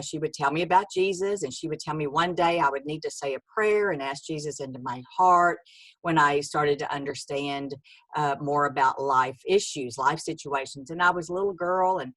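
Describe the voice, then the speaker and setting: Low-voiced Texan woman in her sixties. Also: a bit bright, no echo, rough recording. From Texas, USA. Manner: earnest, halting, many fillers and restarts. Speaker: guest author. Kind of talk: casual personal storytelling.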